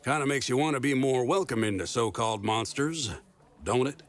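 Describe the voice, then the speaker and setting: A man's voice: mid-range voice, moderate pace, close to an everyday voice. A man playing a folksy, fatherly small-town sheriff with an air of authority in an audio drama. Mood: reassuring, folksy.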